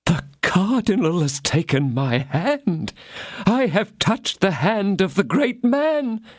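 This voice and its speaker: A man doing a fawning, high-strung mercer. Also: sing-song, slow, dry recording, clean studio sound, full character voice. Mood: awed. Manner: anxious, gushing, disbelieving. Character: timid, fawning middle-aged male mercer.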